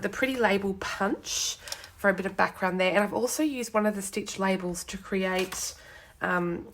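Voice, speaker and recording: Brief: young woman, hoarse and snuffly from a cold, chatty craft livestream host at her desk, faint background hiss. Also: medium pitch, fairly bright tone. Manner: chatty, greets viewers, lots of ums. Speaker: card-making demonstrator. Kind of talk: casual live craft demo.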